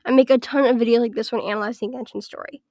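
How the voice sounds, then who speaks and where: A woman with a fairly high voice that comes out raspy and congested from being sick. She walks through a scripted video essay in an orderly, list-by-list way. The sound is dry and close, with no room around it. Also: steady tone, racing, a little mellow, dry recording. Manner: structured, list-by-list evaluation.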